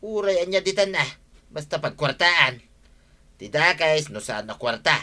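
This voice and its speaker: A sly Filipino husband in a radio drama, boastful and scheming. His voice is highish and very sharp, forceful and cocky. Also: sing-song, moderate pace, full character voice. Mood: cagey, boastful. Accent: Filipino (Ilocano). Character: sly, boastful married man, scheming for easy money. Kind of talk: radio drama acting in Ilocano.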